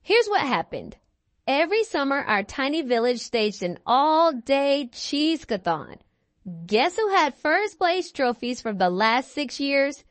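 A woman with a high voice reads a children's story aloud. Her intonation is very animated and theatrical, with emphatic phrasing, and the sound is dry and close. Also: a little slow, no echo. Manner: theatrical, clearly articulated, emphatic. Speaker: children's book reader. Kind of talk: expressive scripted storytelling.

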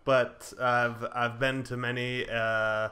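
A young man with a bright, sharp-edged voice at a middle pitch. He chats casually as a podcast co-host, rambling and joking as he goes. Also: little pitch movement, takes it slowly. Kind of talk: casual chat.

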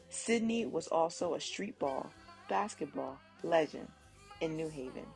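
A woman with a low voice and plenty of vocal fry reads a memorial biography as a family member. It is a scripted reading, with faint music behind her. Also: darkish tone.

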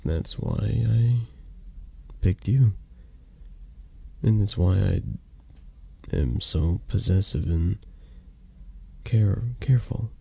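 Man playing a slow, husky, possessive mafia boss. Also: low-pitched, full character voice. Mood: tender, flirty. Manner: hushed, halting, breathy, drifting into whispers. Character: possessive, flirty, tender male mafia boss in love. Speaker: ASMR roleplay performer. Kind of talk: intimate romantic ASMR roleplay. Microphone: close microphone, dry sound.

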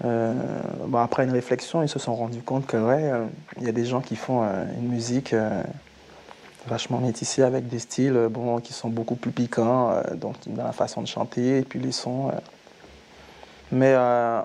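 Guy in his 30s, mellow voice, lots of ums, rehearsal room, slight hiss. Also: mid-range voice. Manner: hesitant, many fillers. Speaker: zouk singer. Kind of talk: relaxed interview talk.

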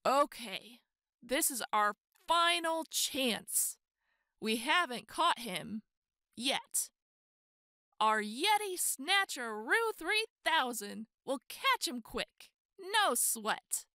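A high, bright-voiced woman storyteller reading to children. She takes her time, stretching key words and exclaiming with big expression. The sound is close and dry. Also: no room sound. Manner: expressive, exclaiming, drawn-out emphasis. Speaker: storyteller reading a children's picture book aloud. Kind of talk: animated children's read-aloud.

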